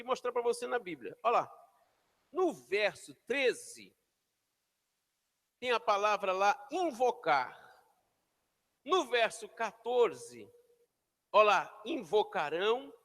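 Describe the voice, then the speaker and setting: A pastor preaching a sermon in a church, shouting then dropping to near whispers as he calls the congregation to repeat after him. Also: extremely high pitch. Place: church hall. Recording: long hall reverb.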